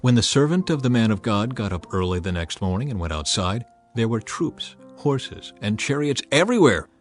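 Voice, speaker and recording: A man narrating a dramatized scripture reading in a measured, earnest way. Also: on the low side, big pitch swings. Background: faint background music.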